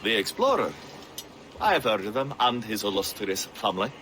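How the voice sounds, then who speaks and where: A man's voice: fairly low pitch, sing-song, moderate pace. A man playing a genial naval captain in a video game, formal and polite. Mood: intrigued, wry.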